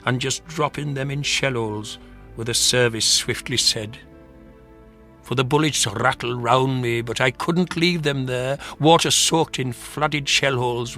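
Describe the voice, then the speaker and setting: A man giving a solemn, measured dramatic recitation of narrative verse. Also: mid-range voice, pushed and bright. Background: music.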